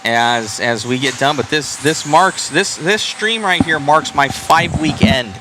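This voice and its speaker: A man's voice: fairly high pitch, a little slow, background noise. A tired man hosting a livestream, chatting loosely and in a friendly way to wrap up an event at an indoor race track. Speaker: livestream host. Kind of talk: casual end-of-event chat.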